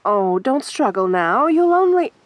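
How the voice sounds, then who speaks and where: A woman performing an ASMR roleplay as a sadistic, teasing winged siren, speaking softly and breathily with taunting, coaxing mock sympathy. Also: a little high, very animated, measured pace, no room sound. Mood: gloating, cruel. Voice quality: soft, breathy.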